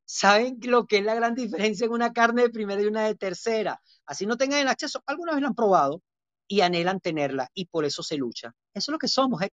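A man's voice: high-pitched, fast, a bit bright, dry recording. A man with a Venezuelan accent, a guest chef in an interview, talking in a proud, emphatic, lecturing way. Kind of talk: interview talk. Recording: dull, dry lo-fi recording.

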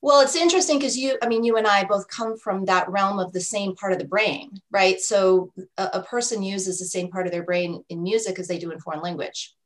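A woman's voice: mid-range voice, brisk, few pauses. A woman life coach who used to teach languages, explaining her ideas earnestly in an interview, often adding "you know" and "right?". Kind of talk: interview answers.

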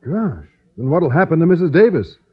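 An actor playing an earnest, naive, mild-mannered teacher in an old-time radio comedy, boyish and literal-minded. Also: male voice, on the high side, sing-song, normal speed, husky, exaggerated voice. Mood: earnest bewilderment. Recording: dull vintage radio recording.

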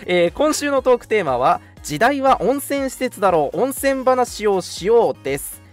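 A young man hosts a live radio show with an animated, swooping delivery. He is polite and quick to apologize, then slips into casual banter. Faint music plays behind him. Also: very high-pitched. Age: young adult. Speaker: host of an online radio show. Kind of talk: live radio chat with scripted announcements.

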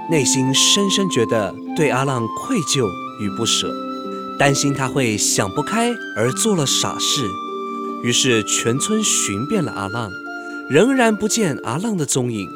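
A man with a Taiwanese Mandarin accent hosting and narrating a radio drama in a theatrical, expressive storytelling manner. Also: mid-range voice, unhurried, loud music behind the voice.

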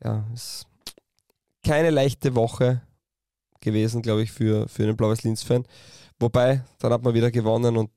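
Ein Mann mit österreichischem Akzent, der in einem lockeren Fußball-Podcast als Gesprächspartner plaudert. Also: male voice, medium pitch, fairly even intonation, moderate pace, long pauses, warm tone, no room sound, studio quality. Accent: Austrian. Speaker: podcast co-host, football club sporting director. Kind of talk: casual football podcast chat.

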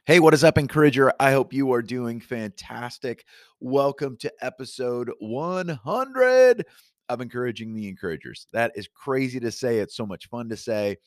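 A man's voice: medium pitch, normal speed, dry recording, studio quality. A man hosting his own podcast, talking with upbeat encouragement straight to the listener while fighting a cold that leaves his voice a little scratchy. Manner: enthusiastic, encouraging, addresses listener directly. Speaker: podcast host and coach. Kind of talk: upbeat solo podcast talk. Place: his studio.

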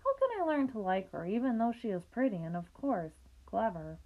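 A woman's voice: fairly high pitch, sing-song, normal speed, phone-quality sound. A woman reading an innocent young pig, plaintive. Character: innocent young male pig, soft-hearted and worried.

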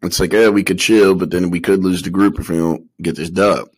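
A man with a low voice co-hosts a sports podcast. He talks casually and with slang, working through his analysis out loud. He often puts his thoughts as questions. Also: fairly even intonation, a little fast, breathy edge, poor audio. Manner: slangy, questioning, thinking aloud.

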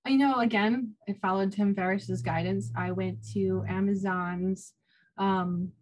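A woman's voice: mid-range voice, fairly even intonation, a little slow, low-quality recording. A woman chatting informally as an author guest in an interview, given to small laughs between phrases. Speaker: guest, self-published author. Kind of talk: casual interview chat.

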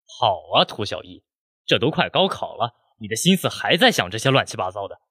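A man playing a stern high-school teacher in an audio drama, reproachful and sarcastic. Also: sing-song, a little fast, background noise. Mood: scolding.

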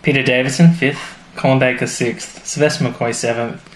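A man's voice: mid-range voice, fairly even intonation, normal speed, a little hiss or hum. A man co-hosting a casual podcast as the resident fan, chatty and enthusiastic, speaking in loose, informal banter. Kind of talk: casual banter about a sci-fi series. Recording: roomy echo, faint music behind.

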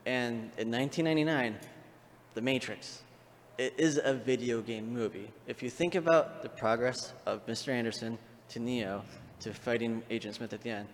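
A man's voice: medium pitch, normal speed. A man speaking as an audience member at a live panel, explaining his view through examples. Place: large convention panel room, on audience microphone. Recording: echoey hall microphone with background noise.

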